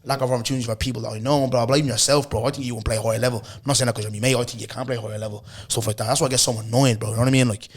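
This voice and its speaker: A man, hosting a podcast in loose, slangy chat, his voice hoarse. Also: medium pitch, racing, few pauses. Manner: loose, animated storytelling with lots of slang and repeated "bro". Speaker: podcast host. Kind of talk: casual chat.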